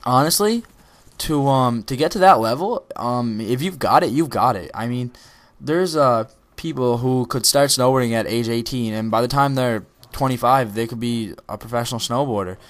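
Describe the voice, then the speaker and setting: Teen guy talking over his game footage, mid pitch, keeps saying um and restarting. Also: normal speed. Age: teens. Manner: rambling, many ums and restarts. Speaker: gaming video creator. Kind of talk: casual motivational talk over gameplay.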